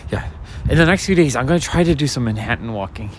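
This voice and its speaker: A man with a mid-pitched, raspy voice talks softly and casually to his viewers as he strolls. He rambles from one thing to the next in an easygoing way. His phone picks up clear street traffic around him on a night-time city walk. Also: expressive, quick pace. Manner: casual, chatty, talks to viewers while walking. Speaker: walking vlogger and livestreamer. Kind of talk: soft-spoken rambling walk-and-talk commentary. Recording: street noise and passing traffic. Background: city street ambience and vehicles.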